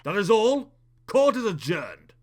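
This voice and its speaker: Pompous, animated courtroom judge played by a man. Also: sits high, a little slow, a loud crowd, heavy background noise, exaggerated voice. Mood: solemn, declaring. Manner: formal, declamatory. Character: pompous, easily amazed male courtroom judge. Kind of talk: comedic parody voice acting.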